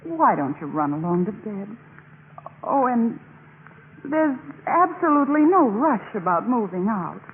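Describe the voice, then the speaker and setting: Casting: falsely sweet young sorority leader, evasive and poised, 1950s radio drama, thin recording. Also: female voice, a little high, big pitch swings. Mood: falsely sympathetic. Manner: measured, sugary, evasive. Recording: noisy, tinny 1950s radio broadcast recording.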